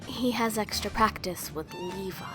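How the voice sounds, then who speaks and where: A woman playing a reserved, blunt young space cadet in an anime parody, terse and low-key. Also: moderate pace, over music, close to an everyday voice. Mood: puzzled, reserved.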